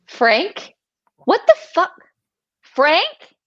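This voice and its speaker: A woman's voice: very high voice, huge pitch swings, very slow, fairly bright tone, exaggerated voice. A woman reading a spooked, foul-mouthed young female camp counselor in a live horror script read, on a slightly dull microphone. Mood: startled, angry.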